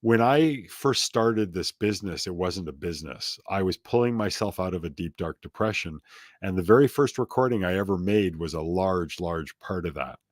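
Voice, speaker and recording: A man's voice: low voice, expressive, moderate pace, no room sound, studio quality. A middle-aged man as an interview guest, chatting casually and rambling off onto tangents with self-deprecating asides.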